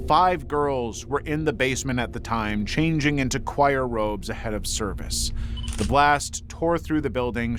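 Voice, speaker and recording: A man reading scripted true-crime documentary narration in a measured, serious manner. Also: mid-range voice, fairly bright tone.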